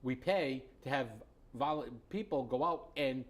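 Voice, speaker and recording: A man's voice: mid-range voice, a little slow. A man co-hosting a local political talk show, agreeing with his partner and adding his own points. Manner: agreeable, backs up his co-host with short affirmations. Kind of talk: casual political chat.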